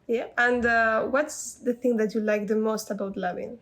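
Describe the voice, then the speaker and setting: Young woman, from Poland, grew up in Spain, co-host, higher voice, attentive. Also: steady tone, moderate pace, a bit bright. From Poland, raised in Spain. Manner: attentive listener, short affirming hums. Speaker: volunteer podcast co-host. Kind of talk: podcast interview.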